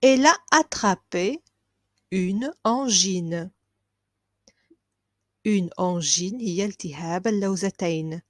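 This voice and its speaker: A woman teaching a language lesson. She repeats each phrase slowly and clearly, then explains it quickly. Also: medium pitch, no echo, clean studio sound. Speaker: French teacher.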